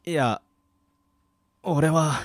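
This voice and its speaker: A man's voice: a little high, extremely slow, no echo, studio quality. A man acting as an evasive, anxious young friend with a hesitant delivery in a Japanese audio drama. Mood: evasive, nervous. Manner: hesitant, faltering, casual.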